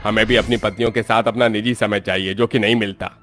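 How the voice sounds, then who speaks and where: A man's voice: fairly low pitch, steady tone, fast talker, fairly bright tone, natural voice. A man playing a frustrated young married son in acted story dialogue.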